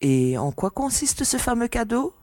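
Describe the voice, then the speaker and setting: A woman's voice: on the high side, very animated, normal speed, darkish tone, no room sound, studio quality. An actress playing a skeptical young woman officer in a sci-fi audio drama, questioning and coolly teasing. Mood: skeptical, coy. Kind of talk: French audio drama dialogue.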